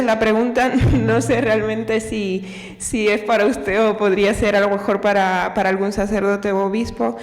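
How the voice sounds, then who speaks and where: A woman's voice: mid-range voice, darkish tone. A woman from Cuba with a Cuban accent asking a hesitant question from the audience at a lecture. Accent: Cuban Spanish. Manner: hesitant, searching for words, tag questions. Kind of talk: question from the floor. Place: large lecture hall. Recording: roomy hall with long reverb.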